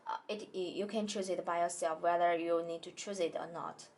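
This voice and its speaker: A woman demonstrating a product in a small indoor studio, talking haltingly with many fillers and restarts. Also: fairly low pitch, steady tone, a little mellow. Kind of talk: hesitant product tutorial.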